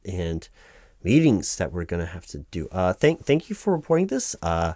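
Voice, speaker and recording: A man's voice: low-pitched, very animated, normal speed, a little mellow, dry recording, clean studio sound. A man playing a flustered, apologetic office clerk in a one-sided ASMR roleplay, hesitant and rambling as he corrects himself. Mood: dismayed, apologetic. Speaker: claims paperwork interviewer.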